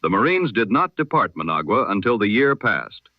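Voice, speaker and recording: A man reading a history broadcast in the style of an old-time radio newsreader, measured, solemn and formal. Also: fairly low pitch, very animated, no room sound, muffled recording.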